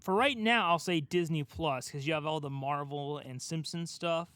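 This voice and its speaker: A man's voice: a little high, moderate pace, a bit bright, clean tone, no room sound. A man co-hosting a casual pop-culture chat, asking questions and giving his opinions in an informal way. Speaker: podcast co-host asking questions.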